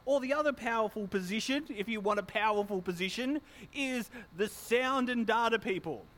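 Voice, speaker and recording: Loud, high-voiced pastor. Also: male voice, normal speed. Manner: chatty, self-mocking, joking with the congregation. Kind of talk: church notices and sermon. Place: church hall. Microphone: handheld or stand microphone.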